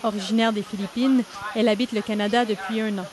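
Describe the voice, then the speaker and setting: A woman reporter reading a scripted news report in a measured, even way. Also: mid-range voice, a crowd in the background. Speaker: radio reporter.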